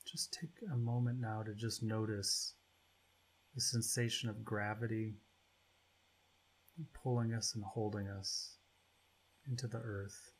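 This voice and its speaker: A man leading a guided meditation as its guide, speaking in short, spacious phrases with long pauses between them. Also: fairly low pitch, little pitch movement, husky, low-quality recording.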